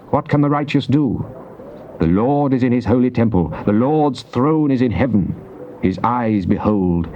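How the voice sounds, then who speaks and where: A man's voice: mid-range voice, darkish tone, lightly acted. Casting: male actor for British psalmist in Bible drama, grave, declamatory, fervent in prayer; muffled tape with faint noise. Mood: resolute. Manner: solemn, declamatory, deliberate. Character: psalmist, fervent man praying and praising God. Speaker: scripture reader. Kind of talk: dramatized King James Bible reading. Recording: dull, noisy cassette tape transfer.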